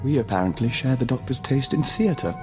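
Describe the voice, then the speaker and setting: Guy doing a reserved polite man for a video game, formal, mid voice, music clearly under it. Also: mid-range voice, lively intonation, brisk, lightly acted. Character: polite, formal man who speaks of himself as we. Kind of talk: video game dialogue acting.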